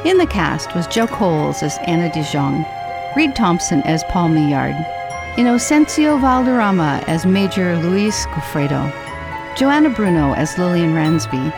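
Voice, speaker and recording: A woman's voice: fairly low pitch, big pitch swings, a little slow, over music. A woman announcer reading scripted closing credits for a radio drama.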